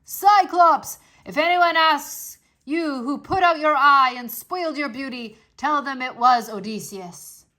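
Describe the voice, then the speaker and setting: A woman voicing a bold, boastful male Greek hero with loud, jeering calls in a children's storybook reading. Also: sits high, measured pace, hardly any pauses. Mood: jeering, triumphant. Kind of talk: children's storybook read-aloud.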